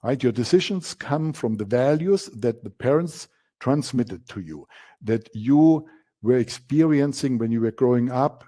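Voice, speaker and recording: A man's voice: medium pitch, animated, a little slow, warm tone. A man from Germany with a German accent, presenting a coaching masterclass as the instructor and stressing key words by repeating them.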